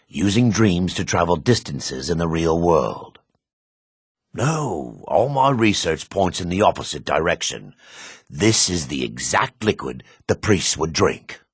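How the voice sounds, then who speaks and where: An actor playing a devout, remorseful dark elf priest in a fantasy video game, speaking formally and gravely with a raspy voice. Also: male voice, deep voice, no room sound, studio quality, heavily acted. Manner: formal, measured, grave delivery.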